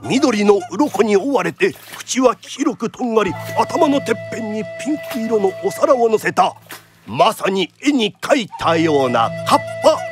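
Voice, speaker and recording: An actor playing a lively storyteller, narrating a radio drama in theatrical, punchy traditional phrasing. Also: male voice, high voice, normal speed, over music. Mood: vivid narration.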